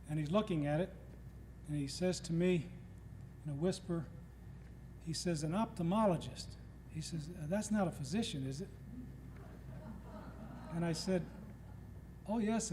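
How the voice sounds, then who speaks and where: A man's voice: on the high side, darkish tone. A middle-aged man from Tennessee giving a humorous lecture, telling stories and acting out what others said. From Nashville, Tennessee. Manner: storytelling, acting out quoted speakers. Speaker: guest lecturer. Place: lecture hall before an audience. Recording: reverberant hall, faint noise, old tape.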